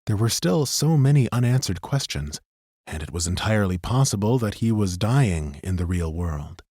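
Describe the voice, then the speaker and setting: A man narrating a fantasy audiobook in a measured, even way. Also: fairly low pitch, husky, no room sound, clean studio sound. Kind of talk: scripted narration.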